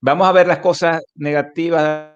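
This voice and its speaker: A man's voice: a little high, steady tone, normal speed, faint music behind. A Venezuelan man speaking Spanish as a guest in a conversational online interview, emphatic and repeating key phrases. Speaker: interview guest, mathematics educator. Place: remote online call from Colombia. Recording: slightly dull internet call audio.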